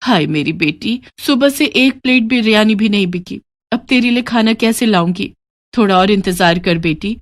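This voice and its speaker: A woman's voice: mid-range voice, lively intonation, normal speed, no room sound, studio quality, lightly acted. A woman voicing a weary poor widowed mother in a moral story, plaintive and beseeching. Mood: weary, apologetic. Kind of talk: animated Hindi moral story acting.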